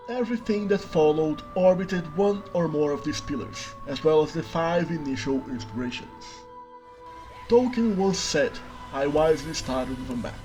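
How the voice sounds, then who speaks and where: A man's voice: a little high, darkish tone, rough recording. A man from Brazil, a native Brazilian Portuguese speaker, narrating a scripted voice-over in a dry, self-mocking way with dramatic emphasis. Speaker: worldbuilding video creator.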